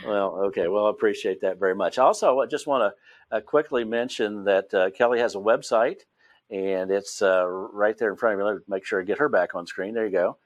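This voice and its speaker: Male host, West Virginia accent, rambling and informal, lower voice. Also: brisk. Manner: rambling, many fillers and restarts. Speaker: host and Bible teacher. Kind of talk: casual interview.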